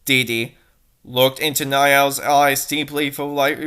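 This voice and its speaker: A woman's voice: rumbling low, little pitch movement, slow pace, a bit bright, clean tone. A woman narrating bad fan fiction with theatrical, sarcastic delivery and commenting as she goes. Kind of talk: mocking dramatic fanfic reading.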